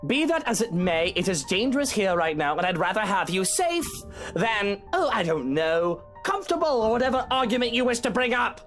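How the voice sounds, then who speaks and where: A woman's voice: medium pitch, very animated, normal speed, fairly bright tone, soft background music. A woman voicing an anxious, commanding young husband in a visual novel, pleading urgently and with exasperation. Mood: exasperated.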